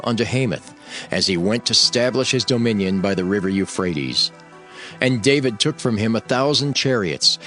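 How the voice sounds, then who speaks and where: A man reading scripture as a formal, measured audio Bible narrator. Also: fairly low pitch, fairly bright tone. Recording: background music under the voice. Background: background music.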